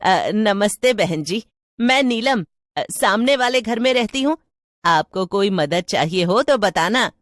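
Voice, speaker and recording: A woman voicing a nosy, excitable housewife who chats and pries in a cartoon story. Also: medium pitch, measured pace, dry recording, clean studio sound, close to an everyday voice. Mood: curious, flustered. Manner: eager, prying, chatty.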